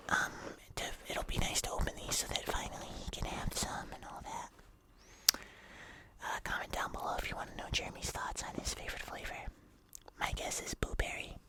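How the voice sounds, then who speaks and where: An ASMR host chatting casually to viewers in a soft, unhurried whisper with drawn-out phrases. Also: rough recording. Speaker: host of an ASMR video channel.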